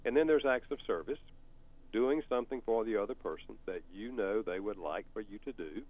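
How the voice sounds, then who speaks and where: A man's voice: fairly low pitch, fairly quick. An older man with a Southern US accent, explaining things on a talk show in a folksy, storytelling way. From North Carolina. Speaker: author and counselor guest. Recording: tinny phone-like sound.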